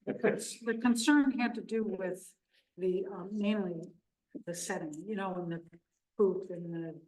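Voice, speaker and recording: A woman's voice: medium pitch, measured pace, a little mellow. A woman on a town board raising a concern, tentative and searching for words. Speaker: board member. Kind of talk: meeting discussion. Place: town board meeting room. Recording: voice from far off the mic.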